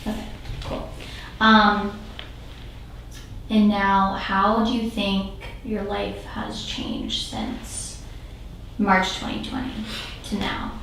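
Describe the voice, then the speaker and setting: A young woman asks interview questions in a hesitant way, with plenty of fillers. Her voice stays flat and even. She sits away from the microphone in a room with a strong echo. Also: mid-range voice, extremely slow, vocal fry, pauses often, slight background noise. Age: young adult. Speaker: student interviewer. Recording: roomy echo, speaker distant from the mic.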